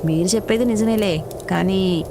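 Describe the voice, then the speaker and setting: A woman's voice: mid-range voice, normal speed, noisy background, natural voice. A woman voicing a doubtful, obedient pigeon wife in a cartoon story, hesitant and deferential.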